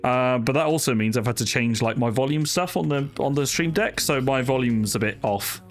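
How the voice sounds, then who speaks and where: A man's voice: mid-range voice, normal speed. A young man chatting casually with viewers on a live stream, greeting people by name and talking with plenty of fillers. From not from Wales. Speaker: sim racing streamer. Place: home streaming setup. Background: music playing.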